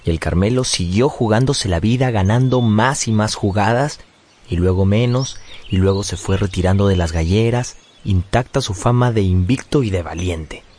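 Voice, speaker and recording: An actor playing a nostalgic grown son, narrating his childhood in a measured, poetic radio drama style. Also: fairly low pitch, darkish tone, slight background noise, natural voice.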